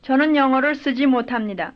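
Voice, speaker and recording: A woman giving careful, measured full-sentence answers as the model speaker in a recorded language drill. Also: sits high. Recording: dull lo-fi old lesson tape.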